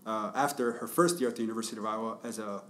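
A man's voice: on the low side, fairly even intonation, rapid-fire, a bit bright. A man hosting a podcast interview, upbeat and friendly, laughing often as he introduces his guest. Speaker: podcast host.

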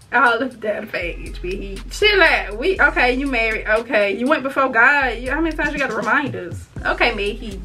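A woman in her twenties chatting casually on camera in her bedroom, teasing and animated as she scrolls her phone. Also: a little high. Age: 20s. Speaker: YouTuber. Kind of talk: casual reaction vlog. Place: bedroom, indoors.

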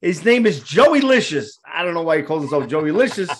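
A man's voice: fairly high pitch, big pitch swings, brisk, a crowd in the background. Joking fifties man with a New York accent. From Queens, New York. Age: 50s. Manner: loose, joking, streetwise banter. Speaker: host. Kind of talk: casual interview chat. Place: home studio full of rock memorabilia. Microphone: headset on a video call.

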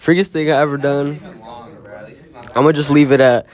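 A man's voice: fairly high pitch, little pitch movement, moderate pace. A teenage male interviewee chatting casually in slang, often repeating himself. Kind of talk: casual chat. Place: school classroom.

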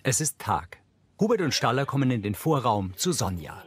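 A man's voice: mid-range voice, sing-song, moderate pace. A man reading scripted audio description of the scenes in a series.

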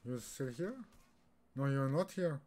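A man's voice: medium pitch, unhurried, a little mellow. A young man from Austria, a native German speaker with an Austrian accent, giving casual live commentary as he streams a game. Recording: clear keyboard and background noise.

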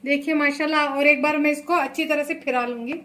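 Woman in her thirties, high voice held in a narrow band, home kitchen with faint room noise behind. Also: fairly even intonation, quick pace. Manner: chatty, repeats "look" and blessings often. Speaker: cooking channel host. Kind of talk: home cooking tutorial.

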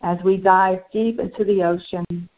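A woman channeling a serene, benevolent spiritual master, guiding a meditation in slow, short phrases with long pauses. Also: fairly low pitch, fairly even intonation, lightly acted. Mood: serene, reverent. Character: serene, benevolent ascended master St. Germain addressing followers. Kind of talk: guided meditation. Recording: dull lo-fi sound.

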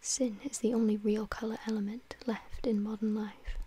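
Languid, cynical storybook aristocrat played by a woman, whispered, dreamy, evenly pitched. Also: flat delivery, moderate pace, warm tone, slight background noise, close to an everyday voice. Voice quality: breathy whisper. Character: languid, witty, cynical upper-class gentleman. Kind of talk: whispered audiobook dialogue.

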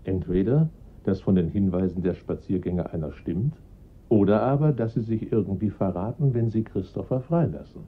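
An actor playing a formal, calm police inspector who explains the case patiently. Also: male voice, lowish voice, breathy edge, narrow, tinny audio. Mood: measured. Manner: measured, formal, reassuring. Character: calm, dutiful middle-aged male police inspector. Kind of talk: radio drama acting.

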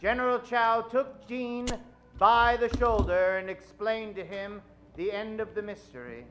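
Male host-narrator for vintage radio show: dramatic, high, ringing bright delivery. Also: unhurried, lo-fi sound, slight background noise. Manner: dramatic, showy. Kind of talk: old-time radio announcing. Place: theater stage. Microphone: vintage-style stand microphone.

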